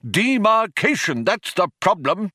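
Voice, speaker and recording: A man's voice: a little high, big pitch swings, moderate pace, bright, forceful tone, voice pushed up. A man voicing an angry, pedantic older philosopher, indignant and argumentative like a stroppy union man.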